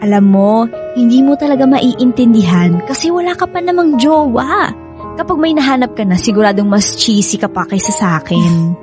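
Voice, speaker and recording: A woman playing an excited, loving young bride-to-be who teases her friend, in radio drama style with a Filipino accent and a casual, expressive delivery. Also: on the high side, normal speed, music in the background, close to an everyday voice. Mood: assured.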